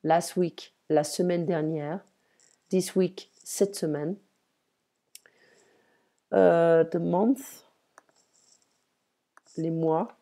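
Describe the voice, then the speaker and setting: A woman's voice: fairly low pitch, steady tone, drawn-out words, pauses often, mellow. A woman teaching French in an online lesson, moving between French and English and explaining patiently.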